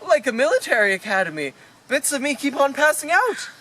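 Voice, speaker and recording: A woman's voice: high voice, very animated, brisk, fairly bright tone, slight background noise. A woman playing a bewildered, dryly sarcastic stranded Earthling in a radio play, full of disbelief. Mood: sarcastic, disbelieving. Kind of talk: amateur radio play acting.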